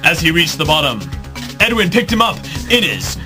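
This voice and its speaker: Male narrator, high-pitched, melodramatic storytelling for a homemade superhero comedy, music underneath. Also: very animated, normal speed. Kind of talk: melodramatic storytelling for amateur comic superhero drama.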